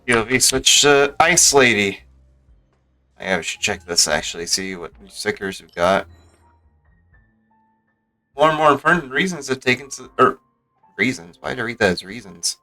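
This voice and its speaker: A man's voice: medium pitch, lively intonation, moderate pace, pauses often, pushed and bright, low-quality recording. A man giving casual, wry live commentary as a game streamer, muttering to himself as he plays. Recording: faint game music behind.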